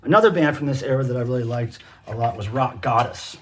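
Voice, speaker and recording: A man's voice: mid-range voice, quick pace. Middle-aged American man, casual, noisy recording. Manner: enthusiastic, rambling. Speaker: cassette collector and video host. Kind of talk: casual show-and-tell vlog. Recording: noisy home recording with clicks.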